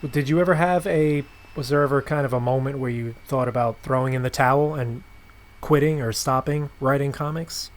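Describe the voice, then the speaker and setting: A man's voice: mid-range voice, a little fast. A man speaking as a podcast host in a casual interview chat.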